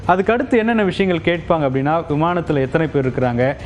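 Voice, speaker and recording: A man's voice: on the high side, animated, fast talker. A man with a Tamil accent explaining a news story as a correspondent, stressing key words emphatically. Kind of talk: semi-scripted explanatory news report. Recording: slightly dull sound, background noise.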